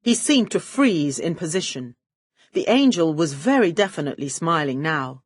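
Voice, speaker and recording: Low-voiced woman narrating with droll poise. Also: expressive, moderate pace, no echo, studio quality. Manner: arch, droll, poised delivery. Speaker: audiobook narrator. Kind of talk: first-person scripted story narration, wry noir detective manner.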